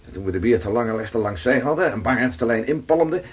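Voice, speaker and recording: An actor playing a Dutch sailor slowly recounting his voyage in a radio play. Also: mid-range voice, expressive, husky. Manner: slow, vivid recounting. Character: weathered Dutch sailor telling voyage tales.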